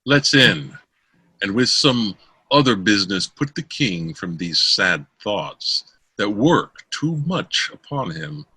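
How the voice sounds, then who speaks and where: A man reading Shakespeare verse drama aloud as an amateur, in a theatrical, declaiming manner with a husky voice. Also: on the low side, sing-song, slow pace, bright, forceful tone, dry recording. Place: close dry room, online call.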